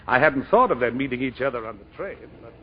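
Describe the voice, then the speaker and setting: A man's voice: medium pitch, expressive, quick pace, close to an everyday voice. A man playing a kindly lawyer friend, earnestly telling a story in 1940s radio drama style. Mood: reflective narrating. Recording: muffled, noisy 1940s radio recording.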